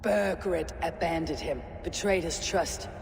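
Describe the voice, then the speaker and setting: An actor playing a calm, firm male Viking warrior leader in a video game. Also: fairly high pitch, slow pace, vocal fry, background noise. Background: game score.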